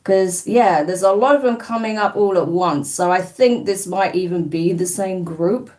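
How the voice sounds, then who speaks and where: A woman's voice: on the low side, moderate pace, a little mellow, recorded from a distance. A woman with a slight New Zealand twang hosting a live card-reading stream, chatting casually and rambling as she reads viewer comments and bluntly dismisses trolls.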